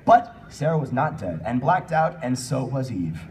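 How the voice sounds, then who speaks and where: A man's voice: mid-range voice, big pitch swings, normal speed, darkish tone, distant mic. A man hosting a comic live reading of bad fan fiction, mock-serious and sarcastic, in a noisy convention room. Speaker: panel host and reader.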